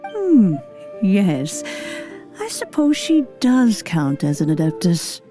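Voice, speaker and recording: A woman playing an elderly, kindly immortal in a video game, speaking in formal, old-fashioned phrases with gentle authority. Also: medium pitch, wildly swooping pitch, slow, music in the background. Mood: amused, genial. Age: older. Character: elderly kindly female adeptus, nostalgic and gently commanding. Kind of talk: scripted video game acting.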